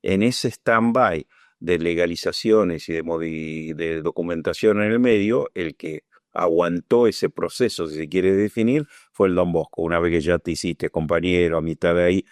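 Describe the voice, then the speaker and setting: A man in his sixties with an Argentine accent, giving a measured, reflective testimony in an interview and sometimes pausing to find his words. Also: sits low, darkish tone, no room sound, clean studio sound. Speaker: Falklands War veteran interviewee.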